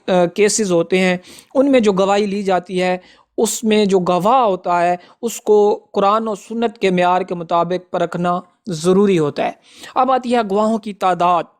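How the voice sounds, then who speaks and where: A man presents a prepared law lesson. He speaks slowly and formally, moving methodically through his points as an advocate explaining the rules to students. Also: high-pitched. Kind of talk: prepared educational lecture.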